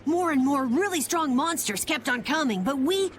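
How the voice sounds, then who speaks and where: A naive young boy adventurer for a video game. The voice is high and lively with an American accent, earnest and easily excited, over faint background noise. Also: moderate pace. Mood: eager. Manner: earnest, eager.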